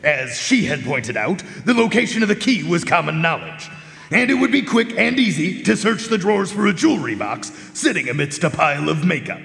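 Dog detective for radio drama, very proper and exact, lively swooping pitch, some fry, big hall. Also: male voice, heavily acted. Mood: calm, polite. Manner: formal, precise, deliberate explanations. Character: male dog detective, poised, precise, unflappable. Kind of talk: live radio drama acting. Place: concert hall stage, live broadcast. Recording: long hall reverb, music behind.

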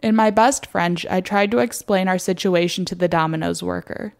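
A woman's voice: lowish voice, normal speed, vocal fry, darkish tone. A young American woman reading a scripted personal story as its narrator.

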